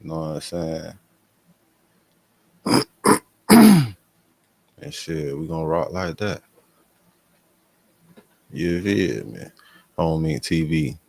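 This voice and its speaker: Deep-voiced man, blunt reaction host. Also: sing-song, slow, gravelly, pauses often, a little mellow. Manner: blunt, profane, slangy. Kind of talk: casual reaction commentary.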